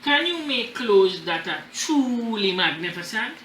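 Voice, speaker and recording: Man reading as a vain, animated storybook emperor. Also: high voice, unhurried, echoey room, slight background noise, full character voice. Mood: eager. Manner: boastful, imperious, emphatic.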